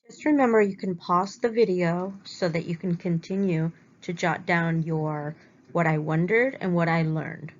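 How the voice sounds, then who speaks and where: A woman reading aloud as a teacher, stopping to explain words simply to young students. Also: fairly low pitch. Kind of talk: read-aloud lesson with simple word explanations. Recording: dull, lo-fi home recording.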